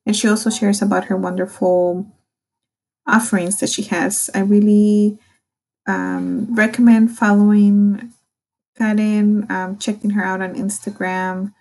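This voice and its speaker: A woman's voice: medium pitch, flat delivery, measured pace, gravelly, a little mellow, low-quality recording. A woman hosting a podcast, introducing and interviewing in a casual, somewhat hesitant conversational way.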